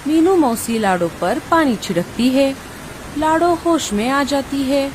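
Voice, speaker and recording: A woman's voice: sits high, animated, unhurried, slight background noise. A woman reading scripted narration for a children's cartoon story.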